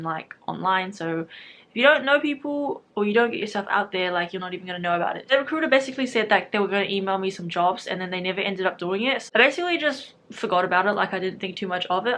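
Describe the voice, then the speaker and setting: A woman's voice: mid-range voice, a bit bright. A young woman in her twenties with a New Zealand accent, chatting casually to camera, full of fillers and frequent laughs. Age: 20s. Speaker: vlogger.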